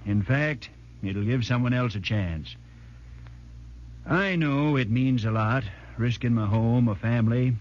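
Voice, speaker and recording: An actor playing a plain-spoken, sincere pioneer blacksmith with a rural American accent in a 1940s radio drama. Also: male voice, mid-range voice, darkish tone, natural voice. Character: determined, folksy male New England pioneer blacksmith. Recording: muffled 1940s radio broadcast.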